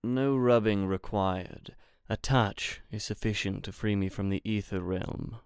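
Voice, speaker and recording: A woman voicing a haughty, brooding ancient male genie who talks slowly and solemnly with scorn. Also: very deep voice, a little mellow, smooth, no echo, studio quality, voice pushed down. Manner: slow, solemn, disdainful.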